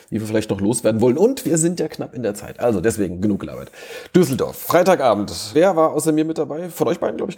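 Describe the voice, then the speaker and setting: A man hosts a casual fan podcast over a remote call. He talks in a chatty, joking way and often restarts his sentences. His voice is mellow and somewhat husky. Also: mid-range voice. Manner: chatty, self-interrupting, joking. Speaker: podcast host.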